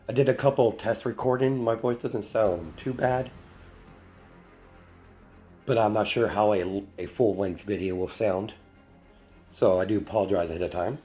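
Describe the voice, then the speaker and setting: A man reads a fan story aloud in a slow, plain way, stumbling and restarting now and then. His voice is low and mellow and sounds a little worn. Faint music plays underneath. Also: breathy edge. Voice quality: unhurried, plain reading with slips and restarts. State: voice not fully recovered. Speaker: fanfiction narrator, channel host.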